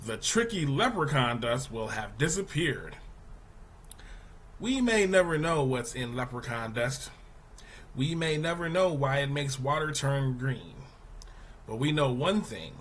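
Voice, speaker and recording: A man reading a children's news article aloud as a teacher, in a deliberate, explanatory manner. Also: medium pitch, lively intonation, a bit bright, a little hiss or hum. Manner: deliberate, explanatory, poses questions to young listeners.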